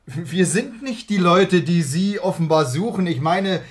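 An actor playing a skeptical, irritable young husband in a German radio play, halting, blunt and defensive in his delivery. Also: sits high, lively intonation. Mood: irritated. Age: young adult.

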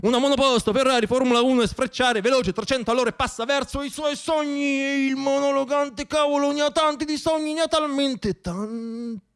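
A man's voice: extremely high pitch, fairly even intonation, fairly quick, few pauses, a bit bright. A man performing a theatrical comic monologue as a storyteller, wry and self-deprecating, repeating words for effect.

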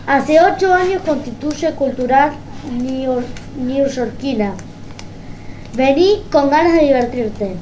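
A woman with an Argentine accent hosting informal community radio, reading announcements haltingly and correcting herself. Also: sits high, lively intonation, a little mellow, slight background noise, poor audio.

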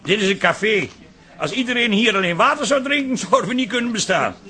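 Casting: blunt, cheeky barkeeper, bright high voice with big swings. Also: male voice, animated, brisk, faint music behind. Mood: cheeky, persuasive. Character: blunt, cheeky café barman pushing drinks. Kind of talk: Dutch radio drama acting.

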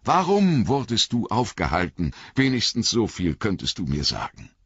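A man's voice: on the low side, wildly swooping pitch, moderate pace, breathy edge, no room sound, highs cut off, exaggerated voice. A man reading an audiobook voices a young hobbit who asks eager, earnest questions.

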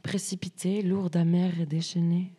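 Even, mellow young woman reading poetry. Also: lowish voice, slightly rough. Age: young adult. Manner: measured, short separated phrases. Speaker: student writer reading collective texts. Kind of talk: poetry reading, spoken word.

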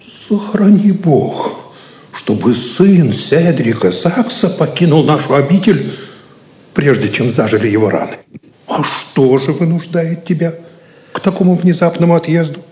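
An actor playing a kindly, solicitous monastery abbot in an audio drama. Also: male voice, on the high side, expressive, unhurried, dark tone. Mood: concerned, kindly. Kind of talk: theatrical audio drama acting. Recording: echoey, reverberant room.

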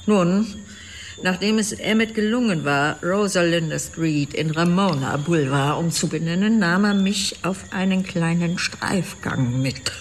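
A woman's voice: fairly low pitch, a little slow, slight background noise, natural voice. An actress in a radio drama playing an older, genteel but vengeful wife and mother, speaking formally and with icy, pointed deliberation. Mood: bitter, wounded. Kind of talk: German radio drama acting.

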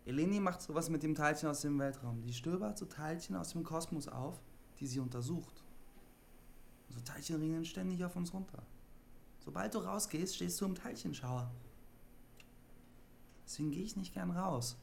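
A man's voice: on the high side, expressive, moderate pace, creaky, pauses often, a little hiss or hum. An actor playing a withdrawn, literal-minded CB radio operator in his twenties, matter-of-fact and pedantic, in a naturalistic radio drama. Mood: withdrawn, earnest. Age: 20s.

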